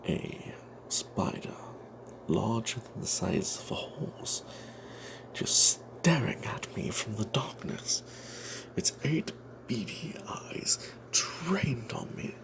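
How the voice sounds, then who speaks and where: A man telling an off-the-cuff fantasy game story in ASMR style, breathy and half-whispered, halting, with playful old-fashioned flourishes. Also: on the low side, sing-song. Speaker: storyteller narrating a tabletop game tale.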